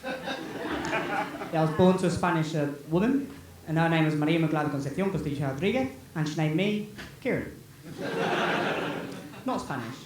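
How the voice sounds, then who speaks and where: A man's voice: a little high, animated, moderate pace, pauses often. A man with a British accent doing a stand-up set, with a dry, wry delivery. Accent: British English. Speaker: stand-up comedian. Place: comedy club stage. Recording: very echoey venue with background noise.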